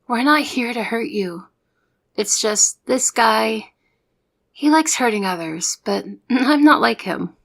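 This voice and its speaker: A woman's voice: a little high, animated, slow, creaky, full character voice. A woman playing a young trainee secret agent in an audio roleplay, the good cop who reasons and coaxes. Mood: coaxing. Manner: persuasive, reasoning, exasperated with partner. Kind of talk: ASMR roleplay audio drama.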